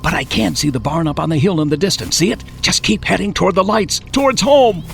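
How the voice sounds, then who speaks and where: A man acts a practical, bossy farm man in a horror audio drama. His gravelly voice swings in pitch as he gives terse, urgent, exasperated orders. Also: expressive, fast, a bit bright, faint music behind, exaggerated voice. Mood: tense, practical.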